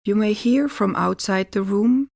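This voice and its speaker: Slow-paced woman guiding deep relaxation. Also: creaky, no echo, clean studio sound. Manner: calm, deliberate, gently instructing with pauses. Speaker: yoga nidra meditation teacher. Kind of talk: guided relaxation meditation instruction.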